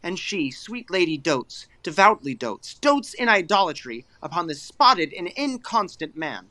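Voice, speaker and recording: High-voiced, bold young lover played by a man. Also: big pitch swings, a little slow, sharp tone, no room sound, exaggerated voice. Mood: proud, assertive. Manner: assertive, rhetorical. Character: young Athenian lover, proud, bold and scornful. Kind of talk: Shakespearean verse audio drama acting.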